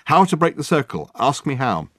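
A man voicing a pompous, excitable male detective in an audiobook, with grandiloquent, emphatic and self-satisfied delivery. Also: mid-range voice, normal speed, no echo, clean studio sound, voice pushed up. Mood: triumphant, excited. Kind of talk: acted audiobook dialogue.

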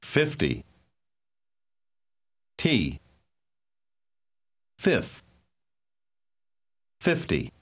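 Male drill voice, extremely slow, pitch swinging widely. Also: huge pitch swings, a bit bright. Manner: deliberate, syllable-by-syllable. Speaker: course dialogue speaker. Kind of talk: drilled word repetitions.

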